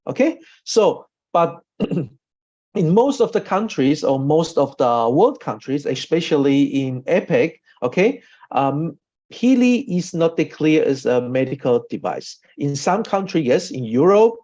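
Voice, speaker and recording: A man from Austria who is not a native English speaker gives an informal online training talk, haltingly, repeating himself and often checking with "okay?". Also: on the high side, very animated, warm tone. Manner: halting, repetitive, often adds a questioning "okay?". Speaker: presenter and trainer, a doctor. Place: online video call. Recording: dull, lo-fi online call audio.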